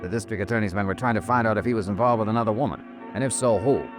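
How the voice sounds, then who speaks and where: An actor playing a terse, businesslike American investigator who questions and narrates in a matter-of-fact 1950s radio drama style. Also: male voice, on the low side, very fast, natural voice. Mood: dry, narrating. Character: terse, businesslike male investigator narrating his missing-person case. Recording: old radio drama with music behind.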